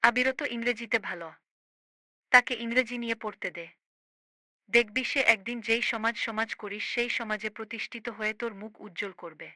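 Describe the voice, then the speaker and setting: Woman voicing a firm cartoon elder sister, very sharp bright voice, dry close sound, preachy, emphatic, caring. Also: a little high, moderate pace, no echo. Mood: challenging, firm. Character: firm, caring older sister lecturing her grown brother. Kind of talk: amateur cartoon voice acting.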